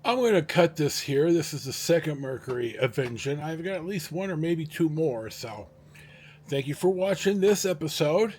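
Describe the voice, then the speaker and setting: Middle-aged man with animated, swinging pitch. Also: husky. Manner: rambling, halting, with dramatic pauses and emphasis. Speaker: host of a personal storytelling vlog. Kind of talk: casual, unscripted anecdotal storytelling to viewers.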